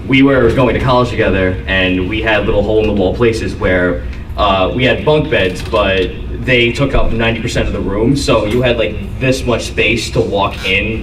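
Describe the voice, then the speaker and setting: A man's voice: fairly low pitch, a little hiss or hum. A man telling a rambling story on a convention panel, recorded from the audience in a large hall. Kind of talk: anecdote at a panel. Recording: echoey hall from far off.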